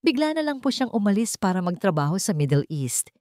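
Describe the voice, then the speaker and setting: A woman's voice: medium pitch, very animated, a little fast, warm tone, no echo, lightly acted. Filipino investigator, voiced by a woman: deferential, matter-of-fact reporting, audio drama, dry recording. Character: deferential private investigator reporting to wealthy client.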